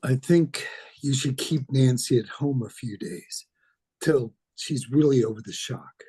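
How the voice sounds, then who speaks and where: A man reads as a worn police lieutenant in a horror drama. The voice is mellow and unforced, casual on top and on edge underneath. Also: medium pitch, measured pace, slightly rough. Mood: edgy, gruffly casual. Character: worn, edgy, nonchalant police lieutenant father. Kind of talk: script table read of a horror film.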